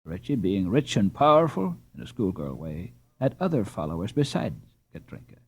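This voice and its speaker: Lively storybook reading by a man. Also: fairly low pitch, normal speed, breathy edge. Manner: gentle expressive reading with lilting emphasis. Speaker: audiobook narrator. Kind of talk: scripted storybook narration. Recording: dull lo-fi recording.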